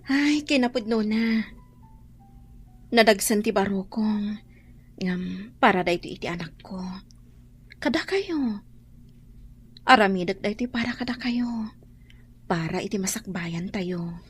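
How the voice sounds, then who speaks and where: A woman's voice: mid-range voice, a little slow, pauses often, slightly rough. An actress playing an earnest, dutiful young woman who works abroad, in a radio drama. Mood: earnest, heavy-hearted.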